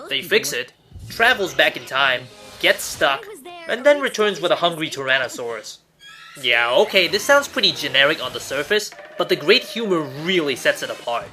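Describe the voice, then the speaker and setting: Animated, bright-voiced man hosting a countdown. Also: fairly high pitch. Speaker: host of an online cartoon countdown show. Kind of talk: scripted countdown review narration. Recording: music under the voice. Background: background music.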